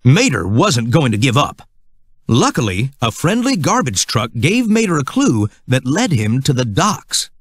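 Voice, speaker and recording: A man's voice: medium pitch, huge pitch swings, unhurried, breathy edge, no echo, clean studio sound. A man reading scripted narration for a children's read-along storybook.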